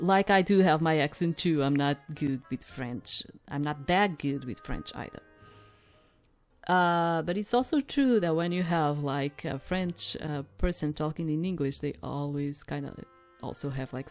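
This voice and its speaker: A woman's voice: deep voice, moderate pace, soft background music. A woman streamer casually commenting while playing a visual novel, rambling with lots of fillers and restarts.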